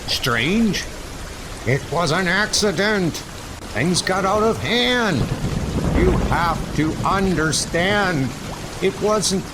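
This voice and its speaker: A man's voice: high-pitched, vocal fry, very noisy, heavily acted. A man playing a panicked, guilt-ridden crime boss in a comic book dub, frantic and pleading.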